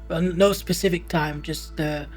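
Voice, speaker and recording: A man in his early twenties talking hesitantly in a documentary interview, with halting phrasing and frequent restarts. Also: fairly high pitch, fairly even intonation, soft background music. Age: 20s. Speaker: interviewee, university student.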